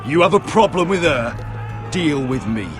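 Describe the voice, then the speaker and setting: A man's voice: a little high, animated, measured pace. A man voicing a bold, brash young sellsword in a video game, direct and cocky, over music. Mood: defiant. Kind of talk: video game character acting. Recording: music behind the voice.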